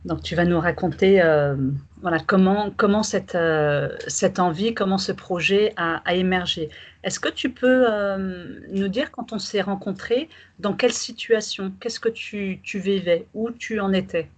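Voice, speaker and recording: Woman coach asking halting questions. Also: medium pitch. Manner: halting questions, frequent fillers and backchannels. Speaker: life coach and interviewer. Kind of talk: conversational interview.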